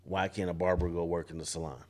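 A man's voice: low voice, steady tone, fast talker. A man in his forties, a barber talking on a podcast with a studio mic in a barbershop space, thoughtful and often restarting his sentences. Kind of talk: casual panel discussion. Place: barbershop event space, indoors. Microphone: podcast mic on boom arm.

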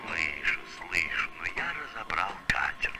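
A man voicing a gloomy, pessimistic spaceship captain in an animated film, with a glum, grumbling manner. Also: low-pitched, measured pace, over music, full character voice. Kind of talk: Soviet animated film acting.

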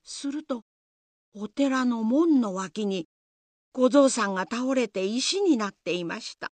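A woman's voice: on the high side, expressive, slow, darkish tone, dry recording. A woman narrator telling a scripted folk tale in a storytelling style.

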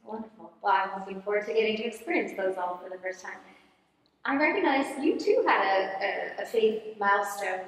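A middle-aged woman priest interviewing parishioners in a church. She talks gently, with hesitant rephrasing. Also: medium pitch, lively intonation, fairly quick. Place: large church sanctuary. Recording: very echoey church hall.

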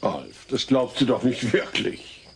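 A man's voice: mid-range voice, steady tone, takes it slowly, lightly acted. An actor playing a sensible, long-suffering suburban family man in a dubbed sitcom, dry and skeptical. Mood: exasperated. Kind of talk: dubbed sitcom acting in an audio drama. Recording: hissy old cassette recording.

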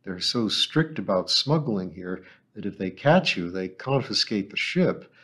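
A male audiobook narrator voicing a gruff, blunt middle-aged ship captain. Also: lowish voice, expressive, a little slow, a bit bright, exaggerated voice. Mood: brusque.

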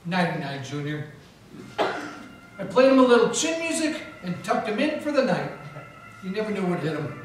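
A man's voice: high voice, very animated, moderate pace, long pauses, a little hiss or hum. A man narrating as a hard-boiled detective in a live 1940s-style radio play, in a wry first-person manner, before an audience in a historic mansion. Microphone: stand mic, filmed by a far camera. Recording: echoey room taped from far off.

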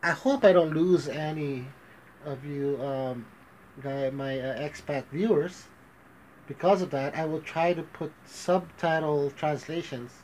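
A man's voice: a little high, a little slow, a little mellow, slight background noise, low-quality recording. A man in his thirties with an American accent, talking casually into a phone camera in a small bedroom, rambling and restarting, mixing in Tagalog phrases. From Philippines, raised in the United States. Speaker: vlogger. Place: bedroom, small indoor room. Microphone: phone camera at arm's length.

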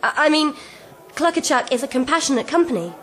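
A woman's voice: sits high, expressive, fairly quick, fairly bright tone. A woman playing an awkward, anxious office boss who chatters nervously in an audio drama. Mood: flustered, awkward. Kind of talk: comic audio drama acting.

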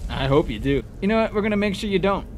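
Guy doing a casual let's play, highish voice, teasing enemies, noisy game sound behind him. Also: animated, fast talker. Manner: playful, taunting enemies, exclaiming. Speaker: gamer playing and commentating. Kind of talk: let's play commentary, casual chat to himself. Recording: clearly noisy background with game ambience.

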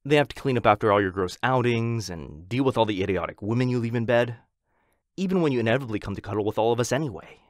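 A man's voice: medium pitch, animated, fast, no room sound, studio quality, lightly acted. A man playing a goth horseman in a comedy roleplay, casual and chiding, with an exasperated manner. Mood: scolding. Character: dry, scolding goth horseman in eyeliner. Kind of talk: boyfriend ASMR comedy roleplay.

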